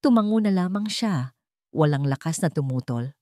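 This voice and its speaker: Woman narrator, lower-pitched, lively Filipino delivery. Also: normal speed, no echo, studio quality. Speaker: story narrator. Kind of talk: scripted dramatic story narration in Tagalog.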